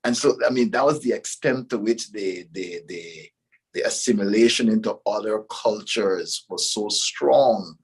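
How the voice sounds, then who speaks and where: Guyanese guy on a panel, husky lowish voice, kinda halting. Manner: reflective, halting, repeats words. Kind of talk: panel discussion.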